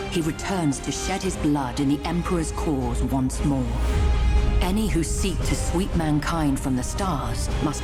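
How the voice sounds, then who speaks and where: A woman playing a grave, portentous narrator of a grim war epic in a video game cutscene, speaking in measured lines. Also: low-pitched. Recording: music behind.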